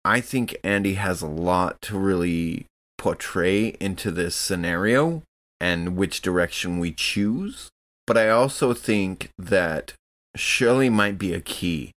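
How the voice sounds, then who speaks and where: Very deep-voiced man, earnest and deliberate. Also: expressive, a bit bright. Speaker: tabletop game player. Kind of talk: casual in-character tabletop discussion.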